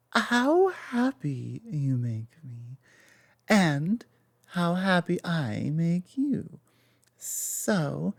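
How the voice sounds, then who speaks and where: A woman playing a loving, softly dominant lamia girlfriend in an intimate ASMR roleplay, tender and earnest, with halting delivery and a sibilant lisp. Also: low-pitched, no echo, full character voice.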